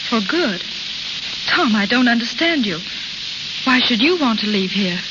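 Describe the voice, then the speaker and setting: A hissy, muffled old broadcast carries a guarded young woman with a fairly high voice. She plays a society lady hiding a secret, in 1940s radio drama manner. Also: animated, a little slow. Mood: troubled, evasive.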